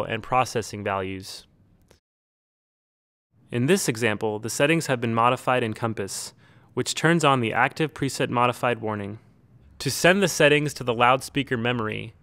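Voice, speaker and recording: A man reading a scripted instructional tutorial in a measured, neutral, step-by-step way. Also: mid-range voice, a bit bright, dry recording. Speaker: tutorial narrator. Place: close, dry studio-style voice-over recording.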